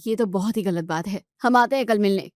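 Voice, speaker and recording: A woman voicing a chatty, affectionate and protective young sister-in-law in a family audio story, with animated, fussing delivery. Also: mid-range voice, a little fast, dry recording, studio quality, natural voice. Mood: shocked, protective.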